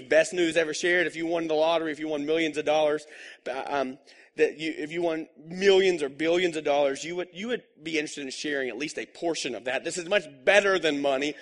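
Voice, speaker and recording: A man's voice: on the high side, a bit bright. A male pastor delivering a casual, conversational sermon, joking at times and rising into emphatic bursts.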